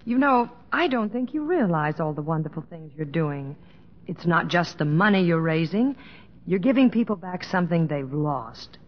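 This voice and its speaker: An actress playing a devoted, sensible middle-aged American wife in an old radio drama, tender, earnest and gently chiding. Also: female voice, fairly low pitch, lively intonation, normal speed. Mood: tender, fussing. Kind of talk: 1950s radio drama acting. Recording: muffled old radio recording with music.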